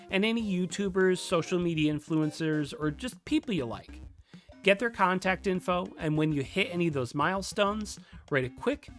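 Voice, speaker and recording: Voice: medium pitch, fairly bright tone. A video host presenting a scripted tutorial in a direct, conversational manner, with casual asides. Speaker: video host and game developer giving a tutorial. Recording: music behind the voice. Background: background music.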